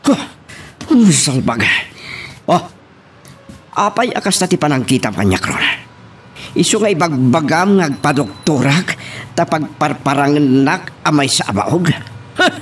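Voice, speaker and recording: A man's voice: on the high side, sing-song, a little slow, long pauses, a little hiss or hum. A man acting an accusing, hot-tempered husband in a radio drama, theatrical and volatile, with a Filipino accent. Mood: furious.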